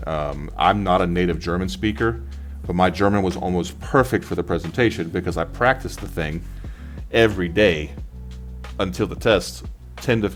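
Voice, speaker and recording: A man presenting exam advice in an informal tutorial style, talking through slides. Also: sits low.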